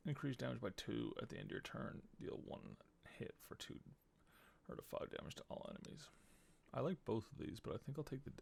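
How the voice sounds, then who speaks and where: A man's voice: mid-range voice, very animated, a little fast, vocal fry, a little hiss or hum. A man giving casual live gameplay commentary as a streamer, thinking aloud and muttering to himself. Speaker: game streamer. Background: game music.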